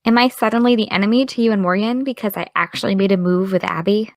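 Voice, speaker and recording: A woman's voice: mid-range voice, quick pace, no room sound, natural voice. A woman playing a needling, indignant young American woman in an audio drama, petulant and provoking. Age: young adult.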